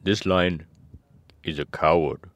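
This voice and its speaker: A man's voice: sits low, steady tone, slow pace, fairly bright tone, dry recording. A man voicing an eager, helpful straw scarecrow from a storybook, earnest and persuasive in manner. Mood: eager, reassuring. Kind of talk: storybook character voice.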